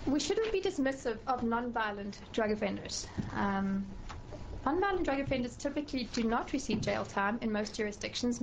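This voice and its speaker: A woman's voice: a little high, background noise. South African woman giving formal testimony. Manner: measured, with frequent um fillers and restarts. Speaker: professor testifying to a committee.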